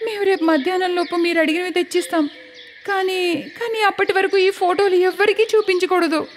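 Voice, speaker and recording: A woman plays a timid young village daughter-in-law in a cartoon story, pitched high and bright. She pleads earnestly and sounds anxious, yet has a quiet courage underneath. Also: steady tone, a little fast, big-room sound, a little hiss or hum. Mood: frightened.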